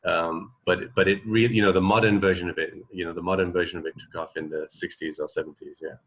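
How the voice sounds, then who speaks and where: A man in his forties chatting casually as a radio co-host, explaining things in a halting, rambling way that often trails off mid-thought. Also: low voice, fairly even intonation, fairly bright tone, slightly rough. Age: 40s. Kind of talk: casual unscripted chat, explaining.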